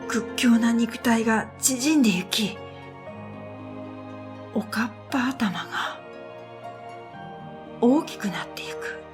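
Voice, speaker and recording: A woman narrating a scripted first-person radio drama in a gentle, unhurried way, with breathy phrase endings. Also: a little high, lively intonation. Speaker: narrator and storyteller. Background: new-age music.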